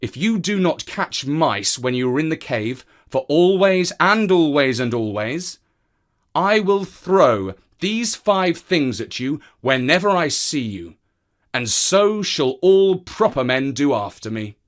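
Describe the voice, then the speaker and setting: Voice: medium pitch, very animated, takes it slowly, voice pushed up. A storyteller as a stern, emphatic cave man. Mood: threatening.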